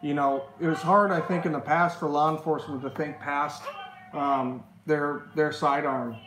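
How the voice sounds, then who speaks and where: A man with a fairly high voice, talking in loose, hesitant casual chat full of fillers. He sounds like he is in a roomy, echoey space, with a faint hiss under his voice. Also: fairly even intonation, moderate pace, a bit bright. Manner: rambling, hesitant, many fillers. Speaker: co-host of a live show. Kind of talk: casual live stream chat. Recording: echoey room, faint noise.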